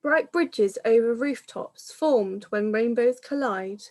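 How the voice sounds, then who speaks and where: A woman, a primary school teacher, reading a picture book aloud to young children in a measured, explanatory manner. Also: on the high side, a little mellow.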